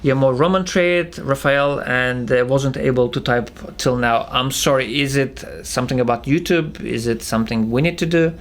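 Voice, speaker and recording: A young man with a thick Russian accent, casually answering viewer questions as a channel co-host, halting with many fillers and restarts. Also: medium pitch. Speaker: channel co-founder hosting Q&A. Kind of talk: casual Q&A chat.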